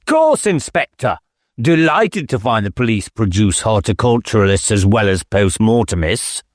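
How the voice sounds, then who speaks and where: A man's voice: fairly low pitch, sing-song, normal speed, dry recording, clean studio sound. A man voicing an evasive, whimsical English country squire in an audiobook, with airy, affected manner and clipped phrases. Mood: airy, teasing. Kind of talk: audiobook character dialogue.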